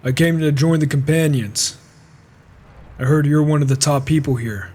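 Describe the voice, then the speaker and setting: A man's voice: on the high side, normal speed, darkish tone, breathy edge. A man roleplaying a cocky, sardonic wandering warrior in a video game, talking casually in character. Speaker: roleplaying gamer. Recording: faint noise, flawed microphone.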